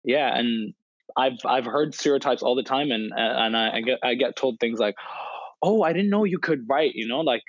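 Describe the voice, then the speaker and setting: A man's voice: medium pitch, normal speed, no echo. Young guy talking openly on a podcast, lots of "you know", dry slightly muffled sound. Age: 20s. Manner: open, reflective, many "you know" fillers. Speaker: podcast guest, poet and influencer. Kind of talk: casual interview chat.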